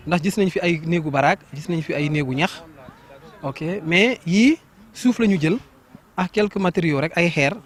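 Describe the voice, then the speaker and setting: Man, higher voice, local host of the project, steady and emphatic answers. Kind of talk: interview answers.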